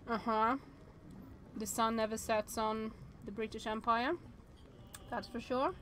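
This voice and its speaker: A woman in her thirties talks through a visual novel on stream, her voice sitting fairly high. She thinks aloud, trailing off and restarting her sentences as she goes. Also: flat delivery, a little slow, fairly bright tone, slight background noise. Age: 30s.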